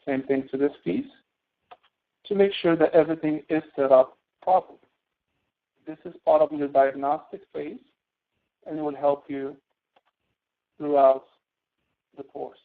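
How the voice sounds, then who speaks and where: Male tutorial instructor, slightly high-pitched, informal and halting, heard through narrow phone-like audio. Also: fairly even intonation, vocal fry. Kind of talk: spreadsheet tutorial, live online lesson.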